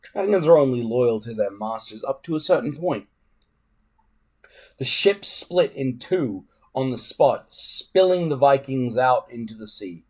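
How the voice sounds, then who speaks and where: Guy reading a kids adventure book out loud, slow pace, expressive, medium pitch. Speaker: reader. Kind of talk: storybook reading aloud.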